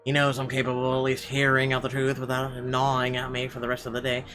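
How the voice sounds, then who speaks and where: A man's voice: medium pitch, monotone, quick pace. Man reading game narration over music. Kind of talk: dramatic read-aloud narration of a visual novel.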